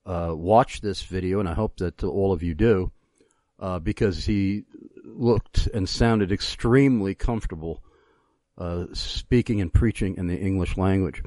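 Low-voiced male radio host, effusive and wordy. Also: mellow, dry recording. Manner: effusive, wordy, halting with drawn-out asides. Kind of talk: conversational radio interview hosting.

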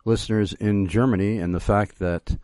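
A man hosting a conversational radio interview in an effusive, wordy manner, halting often for drawn-out asides. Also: on the low side, fairly even intonation, mellow, no room sound, clean studio sound. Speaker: radio host.

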